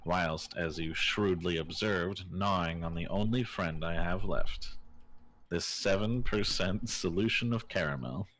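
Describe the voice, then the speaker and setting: A man voicing a melodramatic, self-pitying gentleman detective, eloquent and pompous, in a fan dub of a video game. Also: low voice, a little hiss or hum. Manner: florid, theatrical, grandiloquent.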